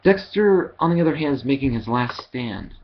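A young man reading scripted dramatic lines as an amateur voice actor, haltingly and with repeated words, into a headset microphone at home. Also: medium pitch, lively intonation. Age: young adult. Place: wood-panelled living room at home. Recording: dull headset audio with background hiss.

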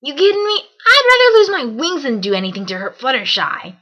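A woman's voice: high voice, huge pitch swings, fairly quick, sharp tone, lo-fi sound, heavily acted. A woman voicing a cocky, casual tomboy pegasus pony in an amateur audio drama. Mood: defensive, bold. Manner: casual, bold.

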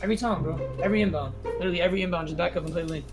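High pitched guy on a game stream, cursing and calling plays in slang, music blasting. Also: rapid-fire. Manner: profane, slangy. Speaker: gaming streamer. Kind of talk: live gameplay chat with teammates. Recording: loud music under the voice. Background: loud music.

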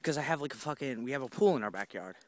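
A man's voice: mid-range voice, expressive, rapid-fire. A young man walking a wooded trail, telling a rambling, profane story into a handheld phone full of fillers and restarts. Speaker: vlogger. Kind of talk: rambling walk-and-talk storytelling. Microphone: handheld phone camera while walking.

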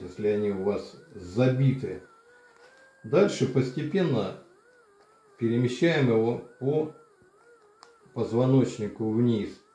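An older man casually demonstrating a massager at home, explaining plainly with pauses and fillers. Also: medium pitch, warm tone. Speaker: channel host reviewing a massager. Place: home room, indoors. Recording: echoey room.

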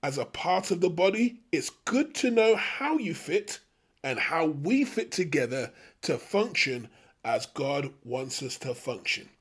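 A man hosting a Bible study, teaching from a script in measured, emphatic phrases. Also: a little high, big pitch swings, slightly rough. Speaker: host of a Bible study show.

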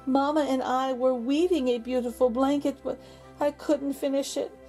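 A woman's voice: high-pitched, fairly even intonation, moderate pace, dark tone, faint music behind, pitched above the performer's own voice, close to an everyday voice. A woman voicing a sad, timid little village girl in a children's storybook, hesitant and earnest. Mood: dejected. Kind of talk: children's storybook read-aloud.

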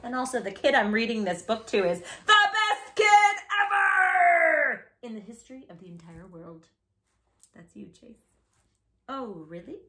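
A woman's voice: a little high, very animated, unhurried, sharp tone. A woman in her thirties reading a children's book aloud as a storytime host, with a theatrical, exaggerated silly delivery. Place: small living room, indoors on a sofa.

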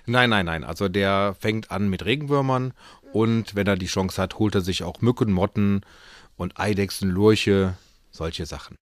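A man's voice: lowish voice, normal speed, pauses often. A man, a falconer, explaining things and telling stories to children in spontaneous talk.

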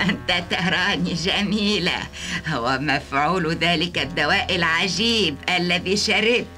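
Slow, cackling old witch. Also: lowish voice. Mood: gloating, coy. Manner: drawn-out, gloating, cackling. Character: sly cackling old witch woman, scheming and flattering. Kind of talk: dubbed cartoon acting. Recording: loud music under the voice.

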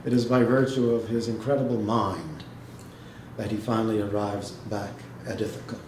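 A man giving a dramatic literary reading and talk to an audience, speaking in a measured way with pauses. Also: fairly low pitch, fairly even intonation. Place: indoor hall, seated before an audience. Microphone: microphone on a stand, recorded by a distant camera. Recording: echoey room, noisy background.

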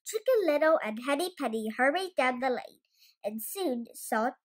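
A young female storyteller reads a children's tale. The voice is high and very lively in its melody, with expressive delivery throughout. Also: moderate pace. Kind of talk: children's bedtime story reading.

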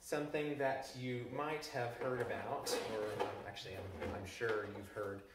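A man's voice: medium pitch, measured pace, very noisy. Far-off man explaining in echoey room. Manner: relaxed explaining with frequent ums, handling balloons. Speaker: chemistry teacher. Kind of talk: classroom demonstration. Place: large living room with a stone wall, indoors. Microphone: camera at a distance.

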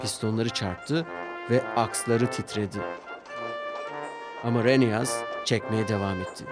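A man narrating a scripted children's story in an expressive storytelling style. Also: fairly low pitch, measured pace. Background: orchestral music with brass.

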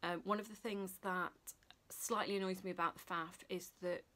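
Female vlogger, Yorkshire accent, slight lisp, a little low in pitch, informal talk to camera in a furnished room. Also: steady tone, moderate pace, sharp tone. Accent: Yorkshire English. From Sheffield, northern England. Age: 40s. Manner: chatty, informal. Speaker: sewing vlogger. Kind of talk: casual chat to camera. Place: small furnished room indoors.

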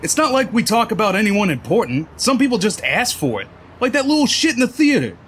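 A man's voice: high-pitched, sing-song, fairly quick, fairly bright tone, a little hiss or hum. A man playing a snide, whiny young hipster in a comic dub, sneering, complaining and self-important.

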